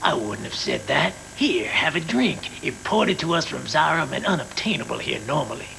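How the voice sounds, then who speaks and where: An actor playing a smooth, menacing middle-aged crime boss in a dubbed anime, patronizing and persuasive. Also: male voice, on the high side, sing-song, normal speed, a little hiss or hum, exaggerated voice, low-quality recording. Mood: smoothly persuasive. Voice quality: gravelly, creaky. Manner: patronizing, persuasive, calls the younger one "son". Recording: worn VHS tape, loud music behind.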